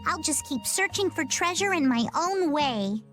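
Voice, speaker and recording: A woman's voice: sits high, lively intonation, normal speed, fairly bright tone, soft background music. A woman voicing a plucky, stubborn young girl treasure hunter in video game dialogue, self-assured and stoic. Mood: resolute.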